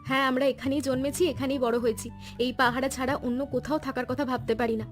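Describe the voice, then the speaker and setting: A woman's voice: sits high, flat delivery, fast, soft background music, lightly acted. A woman playing a caring, no-nonsense village wife in a cartoon story, solicitous and direct. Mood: concerned, direct.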